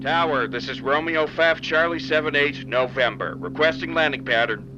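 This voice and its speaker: A man's voice: a little high, moderate pace, close to an everyday voice. A man playing a military pilot calling a control tower in formal, clipped radio procedure for an audio drama. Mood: businesslike. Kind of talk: clipped radio-call acting. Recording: dull lo-fi sound with engine noise.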